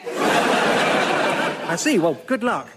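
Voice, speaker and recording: A man's voice: high voice, sing-song, extremely slow, heavy background noise, heavily acted. A man with a British accent playing a polite, hesitant character in a radio comedy sketch. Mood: polite, inquiring.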